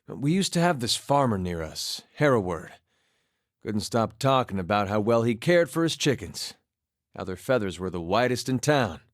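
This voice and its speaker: Man playing a low-voiced, laid-back country farmer. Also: no room sound, natural voice. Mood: fond, amused. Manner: folksy, laid-back, dry understated humor. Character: easygoing, wry country farmer and war veteran, adult man. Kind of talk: conversational video game character dialogue.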